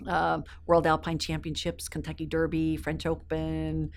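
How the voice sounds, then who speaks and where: A woman's voice: low voice, monotone, a little slow, vocal fry, a little hiss or hum. A woman in her fifties who grew up in South America, an interview guest telling stories in an upbeat, fluent way with a few ums. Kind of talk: casual interview chat.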